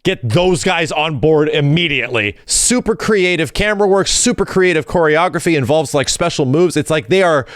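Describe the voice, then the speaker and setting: A man's voice: fairly high pitch, animated, moderate pace, few pauses, dry recording, studio quality. A man co-hosting a podcast, chatting casually and enthusiastically with some swearing. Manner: enthusiastic, profane. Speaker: podcast co-host. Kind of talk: casual chat about fighting game films.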